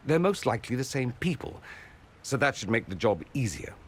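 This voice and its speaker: A man's voice: medium pitch, very animated, fairly quick, a little hiss or hum, natural voice. A man playing a witty, flamboyant mage nobleman in a video game, arch and droll but tender. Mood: droll, reassuring. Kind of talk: dramatic video game dialogue.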